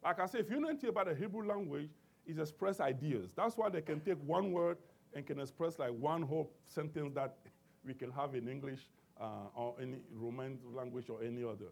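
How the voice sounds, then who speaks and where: A man's voice: fairly high pitch, a little fast, gravelly, darkish tone, slightly rough. A man preaching a sermon, calling out for amens and working through scripture with many restarts and fillers. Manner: emphatic call-and-response preaching with many restarts.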